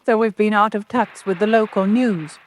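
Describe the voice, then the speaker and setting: A woman's voice: mid-range voice, normal speed, a little hiss or hum, close to an everyday voice. An actress playing a middle-aged wife and mother in an old-time radio drama, genteel and mildly complaining. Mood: indignant, polite. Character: genteel, fretful wife and mother at card table.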